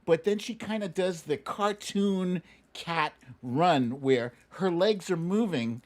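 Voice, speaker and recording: A man hosting a live show with casual, conversational commentary, using playful emphasis and dramatic pauses. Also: high-pitched. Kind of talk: casual livestream commentary, reading viewer comments.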